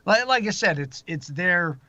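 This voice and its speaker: A man's voice: high-pitched, lively intonation, normal speed, sharp tone, dry recording. A middle-aged man chatting casually as an interview guest and producer. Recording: slightly dull, lo-fi sound.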